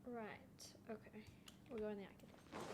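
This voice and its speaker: A young woman chats casually over a game, reacting in slangy bursts. Her voice is medium-pitched, with clear noise and game ambience around it. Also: steady tone, moderate pace. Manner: informal, slangy, muttering reactions. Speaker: gamer narrating gameplay. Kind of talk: casual let's play commentary. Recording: home gaming recording with noise. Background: game audio and ambience.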